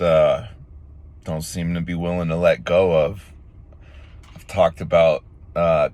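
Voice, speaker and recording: A man with a raspy, sore-throated voice, casually talking to his viewers in a rambling vlog with many fillers and restarts. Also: low voice. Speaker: vlogger. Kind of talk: casual morning vlog talk.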